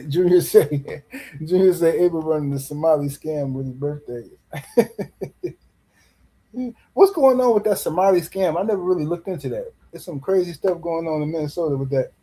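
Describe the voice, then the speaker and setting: A man's voice: a little high, moderate pace, mellow, poor audio. A man hosting a boxing livestream in casual commentary, loose and teasing, rambling and repeating words as he reads chat messages. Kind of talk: casual live-stream commentary.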